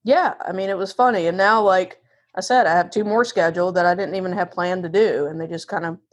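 A woman's voice: on the low side, quick pace. A woman with a Southern US accent chatting candidly and self-deprecatingly as a podcast guest, often acting out quotes of herself and others. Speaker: podcast guest, divorce lawyer. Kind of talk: casual conversational interview.

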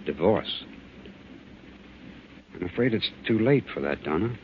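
An actor playing a grave family doctor in old-time radio drama, measured, questioning and authoritative. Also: male voice, low voice, lively intonation. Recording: tinny, noisy old radio recording.

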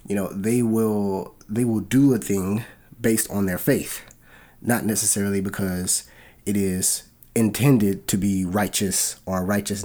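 Man, podcast co-host, low voice, slightly raspy from a cold, earnest, rambling with fillers. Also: a little mellow. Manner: earnest, loose, with many fillers. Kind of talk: casual podcast chat.

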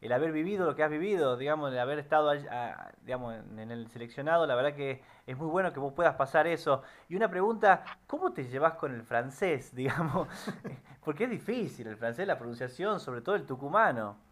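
A man interviewing, asking relaxed, chatty questions. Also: fairly high pitch, fairly quick.

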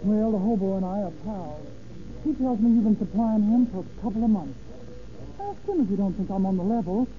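An actress playing a brash, hard-boiled barfly in an old American crime radio drama, with a slangy, tough, familiar manner. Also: female voice, medium pitch, expressive, brisk, slight background noise, close to an everyday voice. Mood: tough, knowing. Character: brash, hard-boiled woman barfly posing as a dope addict. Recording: tinny, band-limited old radio recording, background hubbub.

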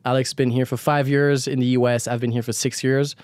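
Smooth-voiced young French man, podcasting. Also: medium pitch, little pitch movement, a little fast, darkish tone, dry recording. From France. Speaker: podcast co-host. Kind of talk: conversational podcast hosting.

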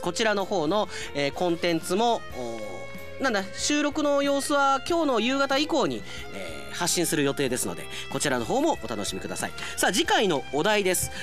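A man hosting radio, chatting casually and speaking directly to listeners as an announcer. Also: high voice.

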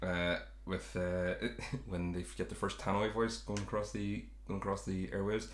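A man's voice: low voice, flat delivery, normal speed, reverberant, a little hiss or hum. A man hosting a podcast, chatting casually about a sitcom in a rambling, self-correcting way. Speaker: podcast host.

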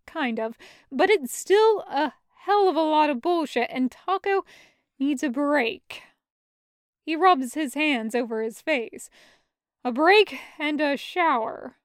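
A woman reading a story aloud as an expressive audiobook narrator. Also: high-pitched, dry recording, clean studio sound. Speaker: audiobook reader of fan fiction. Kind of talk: expressive scripted fiction narration.

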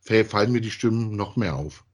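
Husky low-voiced man. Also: expressive, brisk. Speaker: podcast co-host. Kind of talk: casual chat about audio dramas.

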